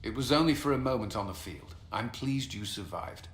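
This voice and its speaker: A man's voice: medium pitch, expressive, moderate pace, natural voice. Earnest military commander, played by a man, echoey room. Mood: modest. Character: earnest, disciplined male military commander, former templar knight. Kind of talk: video game cutscene acting. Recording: roomy echo, noisy ambience.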